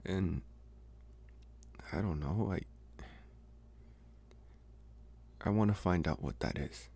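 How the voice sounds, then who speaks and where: A man playing an earnest, smitten young college vampire in a halting, intimate ASMR roleplay, with a soft, breathy voice. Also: low voice. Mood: intrigued, tender. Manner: halting, hesitant, unhurried.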